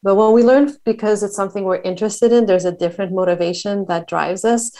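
A woman from Montreal talks at length as a podcast guest. Her voice sits at a middle pitch, and she rattles off places and ideas with enthusiasm. She fills her sentences with "uh" and "you know". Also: mellow. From Canada (Montreal). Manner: enthusiastic, talkative, quick listing with fillers. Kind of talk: casual interview conversation.

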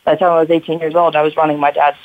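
A woman's voice: low-pitched, flat delivery, rapid-fire. Young woman on a tinny phone line. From Albania and United States. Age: 20s. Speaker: interview guest, entrepreneur. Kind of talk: conversational personal storytelling.

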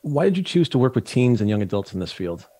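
A man's voice: medium pitch, very animated, fast talker, warm tone, no echo. A man hosting an interview, putting questions to his guest in a friendly, conversational way. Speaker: podcast host.

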